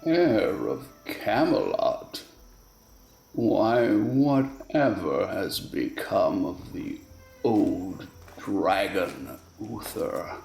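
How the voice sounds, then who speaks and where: Haughty evil elf king for a radio play, super creaky, slow, roomy sound. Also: low-pitched, big pitch swings, darkish tone, faint music behind, full character voice. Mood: scheming, amused. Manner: theatrical, drawling disdain. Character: haughty, sinister male fae king, grandly condescending.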